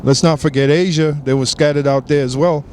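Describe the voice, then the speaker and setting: A man preaching in an outdoor discussion, emphatic and quick to affirm with agreement. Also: a little high, fairly even intonation, a little mellow, slight background noise. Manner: emphatic, keeps affirming with "that's right". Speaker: street preacher.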